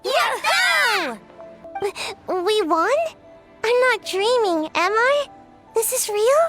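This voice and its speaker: Squealing, very high-pitched excitable young girl. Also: very slow, over music, heavily acted. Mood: overjoyed disbelief. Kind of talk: anime video game acting.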